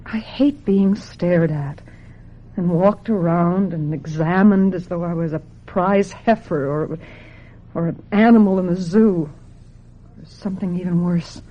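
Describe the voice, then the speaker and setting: A woman's voice: lowish voice, unhurried, lo-fi sound. A woman acting in a radio drama as a wistful, sensitive young American woman with a plaintive, earnest delivery. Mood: pleading. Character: wistful, sensitive young woman, reluctant beauty contestant turned actress.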